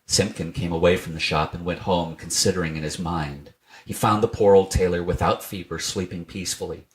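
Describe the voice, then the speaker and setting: A man narrating a children's storybook in an expressive, scripted reading style. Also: deep voice, a little fast. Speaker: storybook reader.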